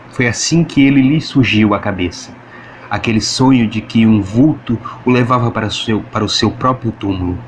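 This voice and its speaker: A man's voice: lowish voice, a little hiss or hum. A man with a Brazilian accent reads a story aloud as dramatic, scripted audiobook narration.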